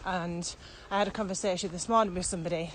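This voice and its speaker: A woman's voice: on the low side, quick pace, low-quality recording. A woman chatting casually as a podcast co-host. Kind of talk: casual conversational podcast chat. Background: steady ambient noise.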